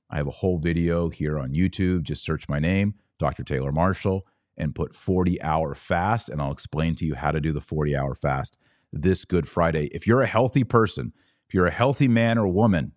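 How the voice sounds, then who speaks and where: A man's voice: deep voice, darkish tone, no room sound, studio quality. A man hosting a live religious commentary podcast, answering comments in an exhortative, emphatic way and repeating key phrases.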